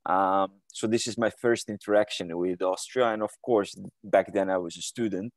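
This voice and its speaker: A man's voice: deep voice, fairly even intonation, normal speed, no echo. A man in his thirties from Croatia, answering interview questions on a video call from a room at home, hesitant with many fillers and restarts. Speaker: guest.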